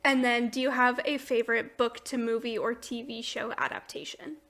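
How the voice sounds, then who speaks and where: A woman's voice: on the high side, steady tone, normal speed, a bit bright. A woman hosting a podcast, chatting casually in a gushing, enthusiastic way. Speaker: podcast host. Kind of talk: casual chat about books and shows.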